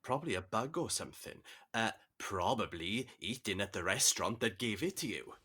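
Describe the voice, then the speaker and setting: An actor playing an amiable, slightly clueless young male flatmate with a teasing, laid-back manner in an audio drama. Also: lowish voice, moderate pace, husky, exaggerated voice. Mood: casual, reassuring. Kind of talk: naturalistic audio drama dialogue.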